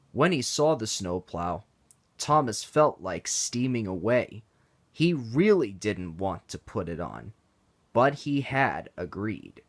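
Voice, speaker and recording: A man reading a children's storybook aloud, in an expressive, deliberate way. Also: on the low side. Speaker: read-aloud narrator.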